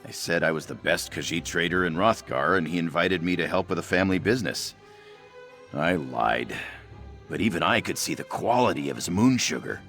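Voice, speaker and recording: A man's voice: low-pitched, lively intonation, moderate pace, fairly bright tone, soft background music. A man voicing an anxious, self-doubting young cat-man trader in a fantasy video game, fretful and hesitant. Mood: anxious, earnest.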